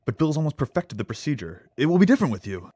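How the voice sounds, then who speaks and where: An actor playing a nervous, devoted lab assistant in an audio drama, speaking earnestly and with hesitant stammers. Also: male voice, a little high, sing-song, fast talker, dark tone, breathy edge, no room sound. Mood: pleading, insistent.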